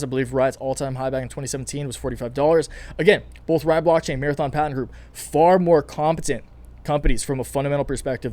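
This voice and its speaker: Male channel host, rapid upbeat commentary, slight rasp from a long day of recording. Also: on the high side. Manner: fast, energetic, chatty, many fillers and restarts. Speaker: finance YouTuber. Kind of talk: casual market commentary to camera.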